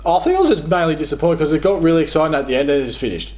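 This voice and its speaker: Blunt, quick Australian man. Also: fairly high pitch, animated, crowd noise. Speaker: guest, new crew member. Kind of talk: casual chat about video games. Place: living room, indoors.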